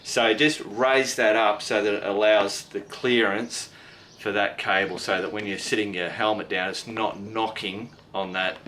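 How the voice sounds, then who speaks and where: Low, bright-voiced Australian bloke. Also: male voice, steady tone, a little slow, slight background noise. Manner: blokey, slangy, mildly sweary. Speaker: product review video host. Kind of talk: casual hands-on product review.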